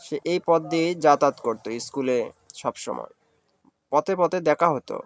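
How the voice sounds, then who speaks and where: Male cartoon storyteller, slightly high voice, scripted narration. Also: lively intonation, moderate pace, creaky, no echo. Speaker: narrator and voice actor.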